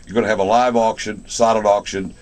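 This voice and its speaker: A man's voice: on the low side, little pitch movement, fairly quick, darkish tone. An American man hosting a radio show, chatting informally as he interviews guests and promotes events, asking plenty of questions. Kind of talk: casual interview and on-air promotion. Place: radio station.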